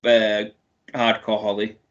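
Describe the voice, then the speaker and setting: A man's voice: lowish voice, completely flat delivery, slow, fairly bright tone, highs cut off. A man co-hosting a casual wrestling chat, talking eagerly and often stumbling over his words. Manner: stammering restarts, enthusiastic. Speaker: podcast co-host.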